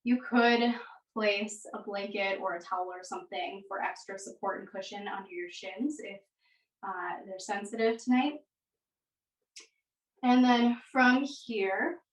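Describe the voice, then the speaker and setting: A woman leading a yoga class as the instructor, giving gentle, unhurried cues with pauses between them. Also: medium pitch. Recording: echoey room, distant microphone.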